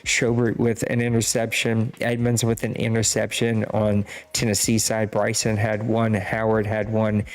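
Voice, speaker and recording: A man's voice: fairly low pitch, monotone, normal speed, few pauses. A man with a Cajun accent hosting a podcast, casually recapping scores in a rambling, self-deprecating way. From Louisiana. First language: Cajun French. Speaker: podcast host.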